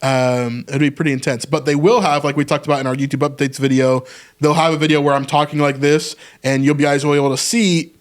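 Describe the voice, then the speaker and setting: A man's voice: on the high side, steady tone, fast. A man co-hosting a casual podcast, explaining ideas in an upbeat way and checking in with "right?". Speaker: podcast co-host.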